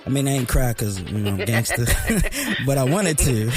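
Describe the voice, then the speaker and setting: Actor as teasing radio host over music. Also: male voice, mid-range voice, very animated, lightly acted. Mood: enthusiastic. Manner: informal, slangy, halting, playful. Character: enthusiastic, teasing local radio show host. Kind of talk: casual radio interview in an audio drama.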